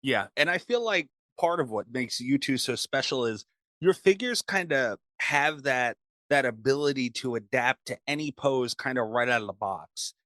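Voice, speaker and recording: A man's voice: medium pitch, animated, normal speed, sharp tone, no room sound. A man hosting a podcast, chatting casually as he interviews a guest.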